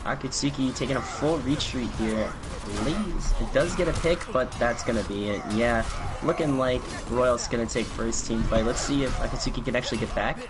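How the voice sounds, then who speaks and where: A man's voice: mid-range voice, normal speed, few pauses, crowd noise. A young man casually commentating a team shooter match, filling his talk with "like" and loose restarts. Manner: loose, filler-heavy, lots of "like". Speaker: esports caster. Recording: noisy home mic.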